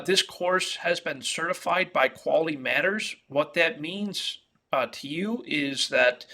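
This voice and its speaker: Bright-voiced New York professor, halting lecture. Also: male voice, medium pitch, vocal fry. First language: Italian. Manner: halting, many fillers and restarts. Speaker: economics professor. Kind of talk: virtual classroom lecture. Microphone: video call.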